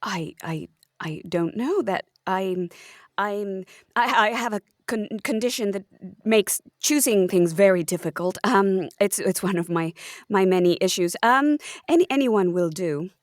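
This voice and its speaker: A woman's voice: mid-range voice, expressive, measured pace, dry recording, clean studio sound, close to an everyday voice. A woman playing a timid, indecisive, apologetic hospital patient in a comedic audio roleplay, hesitant, stammering and rambling. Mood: flustered, indecisive.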